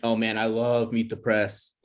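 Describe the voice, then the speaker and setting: A man's voice: lowish voice, completely flat delivery, measured pace, close to an everyday voice. Man playing an awkward young grad student. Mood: eager. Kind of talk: table read of a comedy pilot script.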